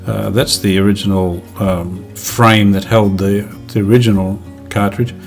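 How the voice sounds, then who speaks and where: A man's voice: fairly low pitch, fairly even intonation, unhurried, faint music behind. A man giving casual, plain-spoken narration of a hands-on restoration job, explaining it step by step without hurry. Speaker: restoration hobbyist presenting his own video.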